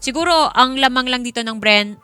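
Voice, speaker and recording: A woman with a Filipino accent speaks as an esports host in a high, bright voice. She is encouraging and emphatic, talking casually through her analysis over faint music. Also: a little fast, clean tone, no room sound. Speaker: esports caster and panel host. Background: faint background music.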